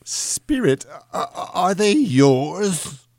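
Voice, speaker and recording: Man playing a timid, high-pitched frightened old man. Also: big pitch swings, moderate pace, no room sound, studio quality. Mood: appalled, pleading. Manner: timid, faltering questioning. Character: frightened, humbled old man facing ghosts. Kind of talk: dramatised classic audiobook reading.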